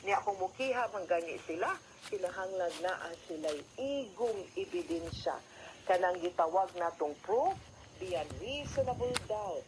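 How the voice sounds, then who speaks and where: A woman's voice: on the low side, lively intonation, measured pace, noisy background. A woman with a Cebuano Filipino accent gives conversational legal advice as a radio host, explaining step by step and often adding tag questions.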